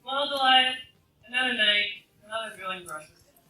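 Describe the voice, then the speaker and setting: A woman's voice: a little high, normal speed, music in the background, close to an everyday voice. A woman playing a wry, friendly heroine in a casual, teasing way, in a very echoey, distant radio play recording. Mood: wry, amused. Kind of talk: fan radio play acting.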